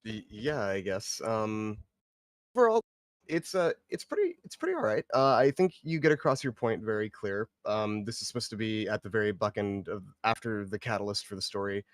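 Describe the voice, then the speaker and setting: A man's voice: lowish voice, expressive, moderate pace, no echo, clean studio sound. A man giving casual feedback in a hesitant, hedging, rambling way. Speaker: participant giving script feedback. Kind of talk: casual spoken critique.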